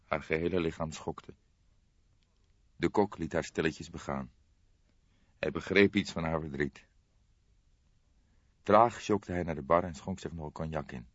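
A man's voice: a bass voice, a bit bright, no echo, dull audio. A man reading a crime novel as scripted audiobook narration.

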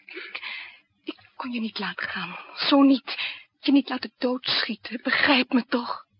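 An actress playing an anxious, protective woman in love with a spy, urgent and breathy, in a 1960s radio play. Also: on the high side, unhurried. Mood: emotional. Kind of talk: 1960s Dutch radio drama acting. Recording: dull old radio drama recording.

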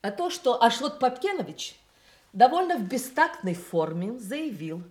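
Formal, animated party secretary. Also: female voice, a little high, unhurried. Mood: indignant, reporting. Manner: formal, precise, official phrasing. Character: indignant, formal female party committee secretary. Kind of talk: Soviet radio play acting.

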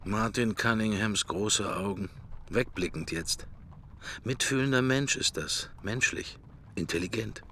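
A man's voice: on the low side, a little slow, bright, forceful tone, slight background noise. An actor playing a musing, wry middle-aged man in a German radio drama, speaking his thoughts in clipped, understated fragments. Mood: brooding, musing.

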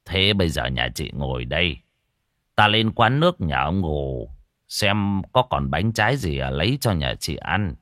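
A man voicing a kindly older village official in a storybook, halting and gently questioning in old-fashioned rustic speech. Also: a bass voice, big pitch swings, no echo, clean studio sound, pitched below the performer's own voice, heavily acted. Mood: pitying, gentle.

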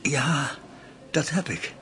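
Slow, halting shaken priest. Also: male voice, fairly high pitch, animated, a bit bright, husky, full character voice. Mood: hesitant. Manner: halting, unsure, careful. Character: shaken, hesitant priest recovering from a robbery attack. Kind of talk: radio drama acting. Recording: radio play with noticeable background noise.

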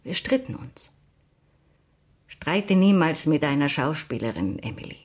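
An actress playing a proud, middle-aged woman confiding about a bitter lost friendship in a radio drama, with a husky voice. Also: deep voice, sing-song, a little slow, exaggerated voice. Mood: wistful, bitter.

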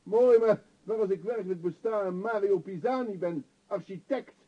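A man's voice: high voice, normal speed, husky. An actor in a radio play voicing a destitute middle-aged male vagabond, stubborn and pleading in a theatrical way. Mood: desperate insistence. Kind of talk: radio drama acting. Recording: dull old radio play recording.

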